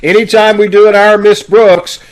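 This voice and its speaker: A man's voice: high-pitched, level tone, moderate pace, crowd noise, rough recording. A man casually hosting a live online broadcast on a video call, making announcements in a folksy, conversational way. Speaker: host of a live online radio-troupe broadcast.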